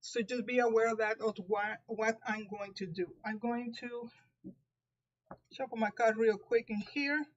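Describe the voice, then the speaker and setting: A woman's voice: a little high, fairly even intonation, measured pace, lo-fi sound. A woman with a Puerto Rican accent, a native Spanish speaker, giving a live tarot reading, talking carefully with frequent restarts. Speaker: tarot reader.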